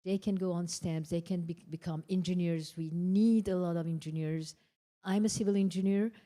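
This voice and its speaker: An older woman speaks on a conference panel in a mellow, fairly low voice. She talks earnestly and haltingly, pausing to search for her words. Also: steady tone. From Iran. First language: Persian. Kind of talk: panel discussion remarks.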